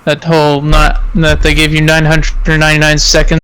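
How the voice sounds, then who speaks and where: High-pitched, bright-voiced man streaming games. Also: monotone, a little fast, smooth, rough recording. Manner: rambling, repeats himself. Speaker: game streamer. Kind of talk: casual gaming chat.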